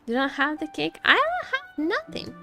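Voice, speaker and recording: A woman streamer giving casual live commentary on a game, expressive and playfully complaining, often repeating her phrases. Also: high-pitched, moderate pace, creaky. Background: game music.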